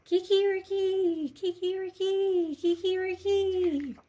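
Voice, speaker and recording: A woman voicing a brave, headstrong little male rooster in a storybook, steadfast and defiant. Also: slow. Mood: joyous crowing. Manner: calm, steadfast speech with high crowing calls.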